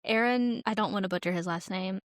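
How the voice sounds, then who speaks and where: A woman's voice: mid-range voice, a little fast, dry recording. Woman chatting casually, very dry sound. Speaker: podcast co-host. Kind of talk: casual chat.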